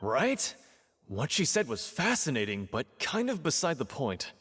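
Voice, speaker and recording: A man's voice: mid-range voice, huge pitch swings, a little slow, a bit bright, slight background noise. A man playing a casual, opinionated onlooker in a game courtroom. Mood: skeptical. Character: gossipy male spectator in a courtroom gallery. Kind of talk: game voice acting. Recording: long hall reverb, faint music.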